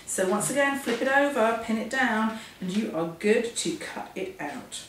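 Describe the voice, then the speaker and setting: Hoarse woman teaching sewing in an echoey room. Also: fairly high pitch, lively intonation, unhurried, recorded from a distance. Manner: chatty, self-deprecating, explains step by step. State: hoarse, losing her voice from a cold. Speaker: sewing instructor. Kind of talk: hands-on sewing tutorial. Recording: echoey room, off-mic, faint noise.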